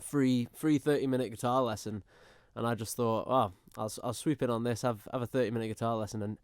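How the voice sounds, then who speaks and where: A man's voice: medium pitch, steady tone, a little fast, clean tone, no room sound. A young man with a Manx accent, a singer, chatting easily and laughing as a guest in a radio studio. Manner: laughing, easygoing. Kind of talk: casual radio interview.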